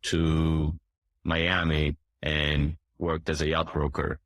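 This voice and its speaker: A man in his forties with a low voice, who grew up in Turkey, talks through interview answers in a halting way, full of "um"s, restarts and throat clearing. The recording is close and dry, with no room sound. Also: monotone, a bit bright, dry recording. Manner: hesitant, many fillers and restarts, clears throat often. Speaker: boat dealership owner, guest.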